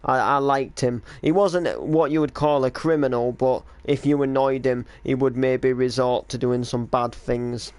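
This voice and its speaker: A man's voice: medium pitch, fairly even intonation, a little slow, few pauses, darkish tone, no echo. A man reminiscing casually on a stream as the creator of an online drama, explaining storylines in an informal, rambling way.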